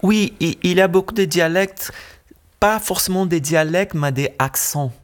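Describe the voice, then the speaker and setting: A man's voice: high-pitched, a little slow. Middle-aged Brazilian male guest, Portuguese-accented French, hesitant and explanatory. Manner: searching for words, many hesitations and restarts. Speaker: musician guest. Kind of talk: radio interview.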